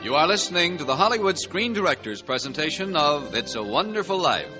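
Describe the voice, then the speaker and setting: Male announcer, slightly high animated voice, 1940s radio style, music behind, muffled recording. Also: brisk.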